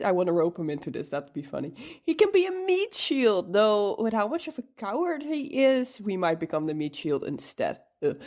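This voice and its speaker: A woman's voice: medium pitch, a little mellow. A woman giving casual fan commentary in a listener voice submission.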